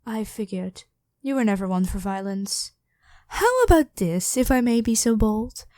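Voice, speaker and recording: A woman's voice: on the high side, very animated, unhurried, darkish tone, dry recording. A woman voicing a devoted, protective royal knight, head of the guard, with formal, deferential speech in a scripted ASMR roleplay. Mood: gently worried, pleading. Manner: formal, deferential address to a monarch.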